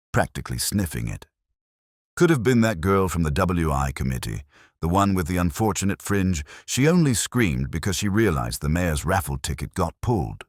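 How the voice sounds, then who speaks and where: Man playing village busybody, catty, disapproving, low-pitched, lively intonation, audio mystery. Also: no echo, clean studio sound, exaggerated voice. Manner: catty, speculative. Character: gossiping, disapproving village parish hall busybodies.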